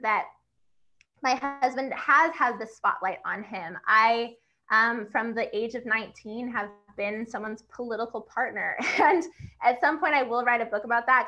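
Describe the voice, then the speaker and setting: A young woman author with a high voice, speaking outspokenly and laughing often in a book event conversation. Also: bright, forceful tone, highs cut off.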